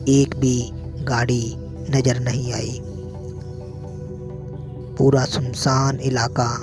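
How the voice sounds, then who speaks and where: A man narrating a scary story in the first person, in short phrases with pauses. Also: medium pitch, vocal fry. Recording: prominent music behind the voice.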